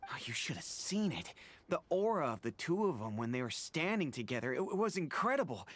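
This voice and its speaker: A man voicing an easygoing veteran mercenary in a game, talking in a relaxed way. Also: a little high, brisk. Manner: laid-back, chuckling.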